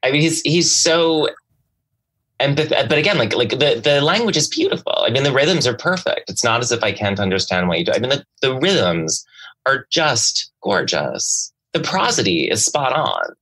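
A man in a casual literary discussion, speaking haltingly and often restarting his thoughts as a panelist. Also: mid-range voice, big pitch swings, a bit bright. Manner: halting, searching, self-interrupting with many likes.

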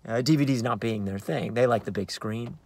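Man, thirties, American accent, mid pitch, actor's narration, halting conversational delivery, uneven emphasis, car interior. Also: creaky, a little mellow, no echo. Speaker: actor in a staged reading. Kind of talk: dramatic narration of a play read on book. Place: inside a parked car in daylight.

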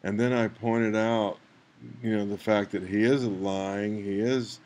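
A man giving unscripted screen-recording commentary, halting and rambling, with an aggrieved manner. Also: lowish voice, steady tone, darkish tone. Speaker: video maker, commentator. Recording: clear background noise.

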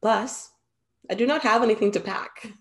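A woman's voice: fairly high pitch, moderate pace, natural voice. A young woman acting an earnest, formal immortal muse in a staged reading, speaking precisely. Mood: tender, decided. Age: young adult. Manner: formal, precise, avoids contractions. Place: indoor room at home, video call.

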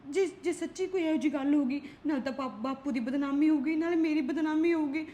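A woman's voice: high voice, fast, mellow, lo-fi sound, close to an everyday voice. A woman playing a frightened young teacher. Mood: tearful pleading. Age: young adult. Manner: pleading, tearful, protesting.

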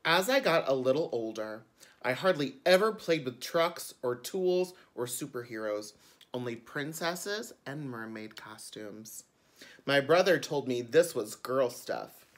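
A man's voice: medium pitch, lively intonation, unhurried. A man, a drag queen, reading a picture book aloud expressively for children, with chatty asides. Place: indoors before a curtain.

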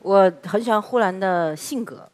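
A woman on a comedy show panel, making lively, thoughtful remarks. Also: medium pitch, normal speed. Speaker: panel guest. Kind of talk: panel comment.

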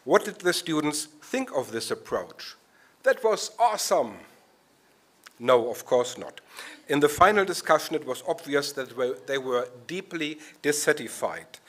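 A man's voice: fairly high pitch, very animated, unhurried, a bit bright. Wry man giving a lightning talk. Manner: wry, storytelling. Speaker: conference speaker. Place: conference hall. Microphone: podium microphone.

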